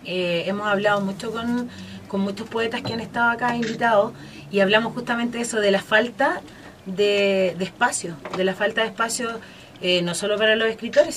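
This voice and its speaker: A woman's voice: mid-range voice, fairly bright tone, a little hiss or hum. A young woman with a Chilean accent hosting a radio talk show, chatting casually with guests, full of fillers and restarts. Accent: Chilean Spanish. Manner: hesitant, many fillers and restarts. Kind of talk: casual interview chat.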